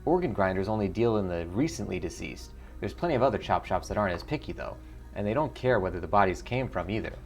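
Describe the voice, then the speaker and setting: A man voicing a wry, matter-of-fact dwarf coroner in a video game, explaining things in a measured way. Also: sits low, animated, gravelly, soft background music. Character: dry, wry dwarf coroner, principled and solitary.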